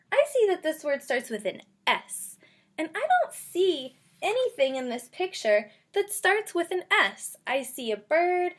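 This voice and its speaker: A woman with a fairly high voice speaks as an elementary teacher leading a lesson for young children. She is instructive, repeating key points and asking guiding questions, and she stretches words out for her listeners. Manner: stretches out and sounds out words slowly. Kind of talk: read-aloud phonics lesson for young children.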